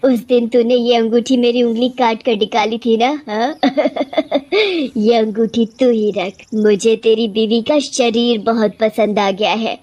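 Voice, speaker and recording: A woman's voice: a little high, steady tone, few pauses, a little mellow, highs cut off, lightly acted. A woman voicing a vengeful witch ghost in a horror cartoon story, menacing and taunting with a cackle. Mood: gloating, menacing.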